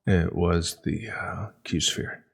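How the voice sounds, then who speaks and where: Low-voiced, steady crewman man acting. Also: very slow, vocal fry, slightly rough, exaggerated voice. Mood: explanatory. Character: steady, serious male space crewman. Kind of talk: sci-fi horror audio drama acting.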